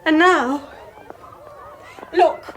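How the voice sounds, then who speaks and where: An actress playing an anxious, troubled young mother in a British radio drama, speaking with an English accent in a tense, halting, confiding way. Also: sits high, fairly bright tone, heavily acted, rough recording. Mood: troubled, uneasy. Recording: faint noise on radio broadcast.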